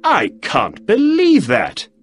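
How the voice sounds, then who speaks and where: A man's voice: sits high, huge pitch swings, slow, husky, soft background music, pitched above the performer's own voice, full character voice. A man voicing a keen, polite male detective who asks probing questions.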